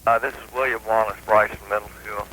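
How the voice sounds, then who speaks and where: A man's voice: lowish voice, steady tone, quick pace, sharp tone. A man chatting casually and plainly as a caller on a radio phone-in. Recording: noisy phone-in line on radio.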